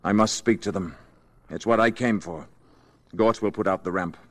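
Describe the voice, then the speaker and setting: A man acting a calm, solemn alien emissary in human form in an old radio drama, speaking formally and with measured words. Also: lowish voice, lightly acted. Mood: calm, subdued. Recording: dull vintage radio recording, hall reverb.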